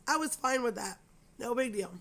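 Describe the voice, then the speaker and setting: Raspy-voiced woman with a cold, chatting. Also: on the high side, lively intonation, moderate pace, bright, forceful tone. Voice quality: raspy, scratchy. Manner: rambling, chatty, apologetic, with many fillers. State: hoarse from a cold. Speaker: crochet channel host. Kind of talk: casual chat to camera.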